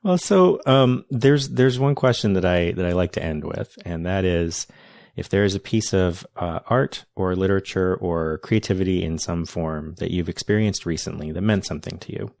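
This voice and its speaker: A man hosting a podcast interview, speaking in a thoughtful, unhurried way. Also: low-pitched, vocal fry, a little mellow, no echo, studio quality. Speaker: podcast host. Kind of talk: casual interview.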